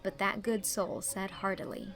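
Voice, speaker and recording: A woman narrates a storybook in a mid-pitched voice, reading from a script. Music plays clearly behind her. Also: little pitch movement, moderate pace. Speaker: audiobook narrator and host.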